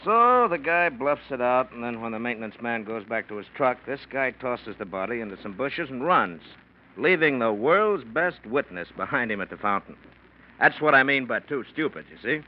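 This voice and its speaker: An actor playing a brisk, confident homicide chief in a 1940s radio crime drama, matter-of-fact and blunt. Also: male voice, mid-range voice, big pitch swings, lightly acted. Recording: muffled vintage radio recording, background noise.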